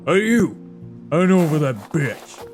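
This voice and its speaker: A man voicing a rough, vengeful farm worker in a dramatic comic dub, blunt and agitated. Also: on the high side, lively intonation, slow. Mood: aggressive. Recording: voice over clear background music.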